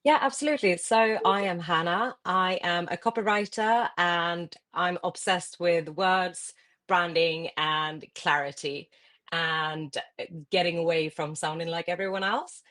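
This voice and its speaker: Swedish-born female guest, lower voice, friendly and enthusiastic. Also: measured pace, a bit bright. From Sweden. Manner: friendly, enthusiastic, laughs easily. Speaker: guest, copywriter. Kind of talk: casual interview.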